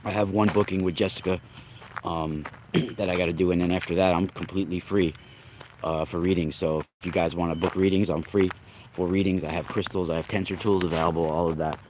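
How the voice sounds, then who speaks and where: A man's voice: low voice, fairly even intonation, brisk, background noise. A young adult man with a cold and a slightly nasal voice, chatting casually on a livestream as host and spiritual reader, rambling and restarting often. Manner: rambling, restarts often, greets chat viewers. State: congested with a cold, coughing.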